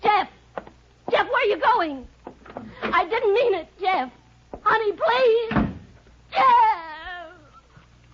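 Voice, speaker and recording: A woman's voice: very high-pitched, lively intonation, extremely slow, long pauses, background noise, heavily acted. An actress in a 1950s radio drama playing a jealous, tormented wife, melodramatic as she swings between accusing and pleading. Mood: desperate pleading. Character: jealous, tormented wife of a dance-band pianist. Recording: dull, lo-fi old radio recording.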